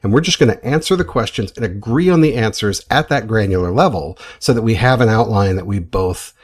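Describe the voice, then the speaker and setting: Low, husky man chatting. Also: expressive, brisk. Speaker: podcast host. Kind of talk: casual interview chat.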